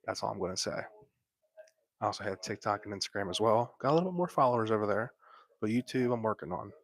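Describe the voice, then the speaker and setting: A young man whispering softly into a close microphone while hosting a laid-back late-night livestream from bed at home. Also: fairly low pitch, quick pace. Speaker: livestream host.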